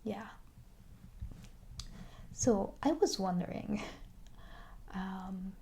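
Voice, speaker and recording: A woman doing a soft-spoken ASMR roleplay as a video game shop clerk, slipping into whispers, with a hesitant, halting delivery. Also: medium pitch, creaky.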